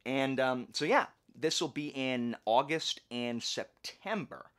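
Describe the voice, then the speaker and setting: A man's voice: mid-range voice, animated, takes it slowly, fairly bright tone. A man hosting a book channel, chatting casually in a folksy, jokey way and often interrupting himself. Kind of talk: casual vlog chat.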